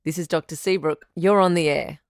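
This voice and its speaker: A woman playing a supportive radio advice doctor and host in an audio drama, measured, articulate and reassuring. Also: low-pitched, steady tone, no room sound, clean studio sound. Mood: welcoming, composed.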